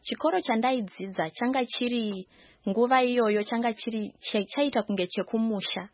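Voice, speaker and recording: A woman's voice: on the high side, moderate pace, no room sound. A young woman from Zimbabwe with a Zimbabwean accent, a guest in a radio studio, answering earnestly in English and Shona with many hesitations. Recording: dry, slightly dull studio sound.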